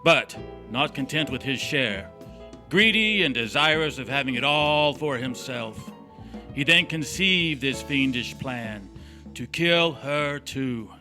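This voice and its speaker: A man plays a righteous, fiery prosecutor in a courtroom melodrama. His delivery is slow, declamatory and emphatic, with a very sharp, forceful tone. Faint music plays behind him. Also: medium pitch, animated, heavily acted. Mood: accusing. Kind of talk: old-fashioned melodramatic courtroom oratory.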